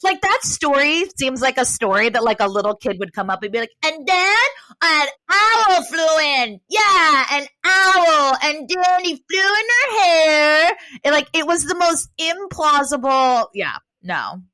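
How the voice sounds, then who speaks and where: A woman guest chatting animatedly on a podcast, loud and rambling, with many fillers, speaking close to her microphone. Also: sits high, animated, a little slow, no echo, low-quality recording. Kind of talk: casual chat. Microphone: close to a desk microphone.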